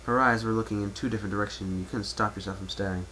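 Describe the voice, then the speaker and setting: Casting: male amateur storyteller, lowish voice, scripted narration, faintly noisy home recording. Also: steady tone, rapid-fire, pushed and bright. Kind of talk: dramatic reading of fan fiction, second-person narration.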